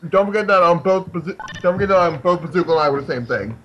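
A man's voice: sits high, animated, quick pace, lo-fi sound, a crowd in the background. A young man chatting casually while playing a game with friends, goofy and teasing, often repeating himself. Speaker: gamer. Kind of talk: casual gaming chat with friends.

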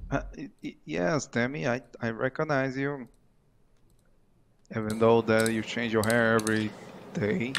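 Man playing chummy, creaky-voiced game medic. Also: mid-range voice, little pitch movement, measured pace, long pauses, a little hiss or hum. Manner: breezy, familiar, mock-polite. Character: chummy, mischievous male medic. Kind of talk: online roleplay game acting.

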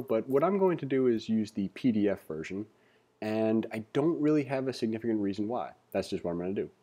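A man's voice: on the low side, warm tone. A man hosting a casual read-along tutorial, reading aloud and adding informal asides to the viewer. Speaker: tutorial host.

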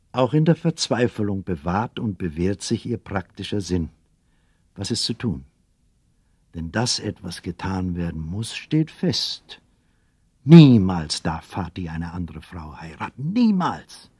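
Low, husky male storyteller. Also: lively intonation, unhurried, darkish tone, no echo, studio quality. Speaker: narrator. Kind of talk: scripted dramatic story narration.

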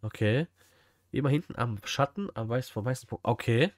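A man's voice: mid-range voice, lively intonation, normal speed, no echo, studio quality. A man, chatting casually and musing aloud as he reacts on a livestream. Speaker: streamer reacting to a video. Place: indoor streaming room.

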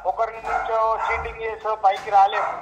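Very high-pitched Telangana man, muffled call. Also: flat delivery, brisk. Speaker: older relative on the call. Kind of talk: casual phone conversation. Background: clear noise.

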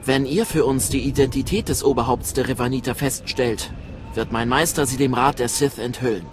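A man playing a proud, grave Sith apprentice recruiter in a German game dub, formal and measured. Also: mid-range voice, fairly even intonation, faint music behind, lightly acted. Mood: scornful, instructing.

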